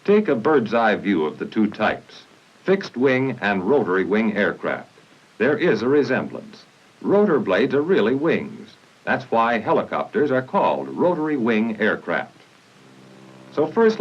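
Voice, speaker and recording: Deep voiced american guy narrating an old 50s army training film, lively intonation, formal and measured, muffled noisy audio. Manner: formal, instructional, measured delivery. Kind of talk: 1950s military training film scripted narration.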